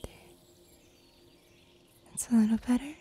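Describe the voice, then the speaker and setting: A young woman playing a gentle mystical healer in an ASMR fantasy roleplay, whispering slowly, breathily and tenderly. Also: on the high side, fairly even intonation, slightly rough, faint music behind. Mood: tender, comforting. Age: young adult. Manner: slow, soothing, tender. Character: gentle mystical female healer comforting a weary wanderer. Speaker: ASMR performer.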